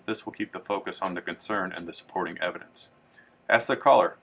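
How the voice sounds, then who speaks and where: Low, bright-voiced male training narrator. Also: vocal fry, husky. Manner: measured, formal, instructional. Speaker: training presenter. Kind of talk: scripted instructional narration.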